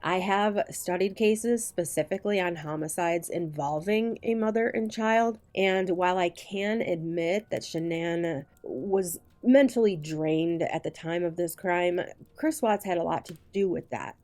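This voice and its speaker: A woman narrating a scripted true crime video in a deliberate, measured way with emphatic phrasing. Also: on the low side, a little mellow. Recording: voice-over with music behind it. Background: music.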